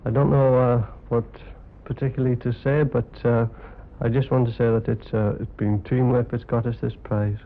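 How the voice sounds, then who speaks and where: Young guy club rep, flat voice, bit hesitant, narrow fifties radio sound. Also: medium pitch, moderate pace, hardly any pauses. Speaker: youth club representative, guest. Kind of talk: short radio address to club members. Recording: muffled, band-limited 1950s radio broadcast.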